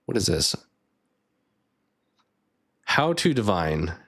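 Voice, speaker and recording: A man streaming a card game live, thinking aloud and chatting with viewers, his voice a bit raspy. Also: sits low, big pitch swings, slow pace, fairly bright tone. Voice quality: slightly raspy. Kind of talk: casual live gameplay chat.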